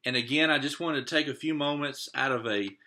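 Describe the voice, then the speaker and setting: A man's voice: medium pitch, fairly quick, sharp tone, slight background noise. A man reading a picture book aloud expressively as a teacher, stopping to put questions to young listeners.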